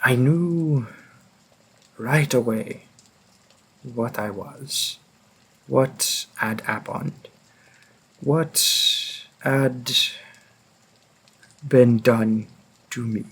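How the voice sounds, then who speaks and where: A man playing a centuries-old, remorseful vampire hunter in an audio drama, speaking in a brooding, halting manner with long pauses. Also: medium pitch, gravelly, slightly rough, heavily acted. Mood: anguished, regretful. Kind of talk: scripted dramatic monologue in audio roleplay.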